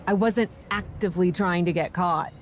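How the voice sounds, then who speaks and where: A woman's voice: lowish voice, normal speed, a little hiss or hum, lightly acted. A woman playing a cheeky, self-assured wrestling manager in conversational video game dialogue. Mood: sly, confident. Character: cheeky rule-bending female wrestling manager.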